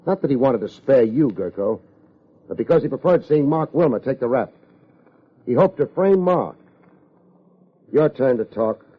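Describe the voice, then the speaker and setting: An American actor playing a tough, wry private investigator in 1950s radio detective style, with a husky voice and clipped, sardonic delivery. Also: male voice, mid-range voice, expressive, moderate pace, pauses often. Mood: hard, accusing. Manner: clipped, hard-boiled, sardonic. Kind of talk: 1950s radio detective acting and first-person narration. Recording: muffled vintage radio recording.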